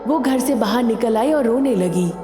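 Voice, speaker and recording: High-pitched woman storyteller with reverb. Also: animated, normal speed, over music. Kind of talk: scripted story narration.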